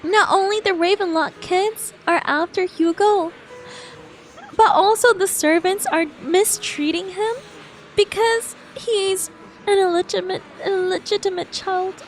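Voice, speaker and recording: A woman with a very high voice reacting live to a story game. She talks at a slow pace in an emotive, sympathetic way, with faint music underneath. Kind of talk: live playthrough reaction, reading dialogue aloud.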